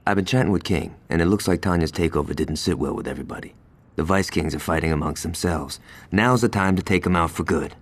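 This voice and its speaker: Low, husky young street thug, cocky and mouthy, game cutscene. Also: male voice, fast talker. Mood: brash. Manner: brash, mouthy, sarcastic. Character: hot-headed young male gang member, eager for a fight. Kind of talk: video game cutscene acting.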